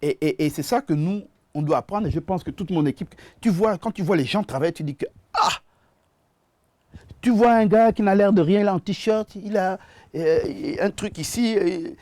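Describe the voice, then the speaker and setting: A man with a Gabonese French accent, a musician and producer speaking as a guest in a TV studio interview, halting and often repeating his words. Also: high-pitched, darkish tone, no echo.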